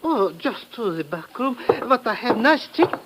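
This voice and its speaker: An actor playing a servile, flustered foreign trinket seller in an old radio drama. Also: male voice, very high-pitched, big pitch swings, unhurried, full character voice. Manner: obsequious, flustered. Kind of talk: 1950s radio drama acting. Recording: noisy old radio recording.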